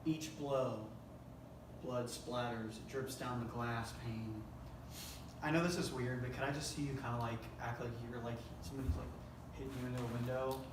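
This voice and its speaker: A man's voice: mid-range voice, fairly even intonation, normal speed. A man casually directing actors at a rehearsal, informal and repeating himself for emphasis. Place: indoor room at a table read. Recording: echoey noisy room, far from mic.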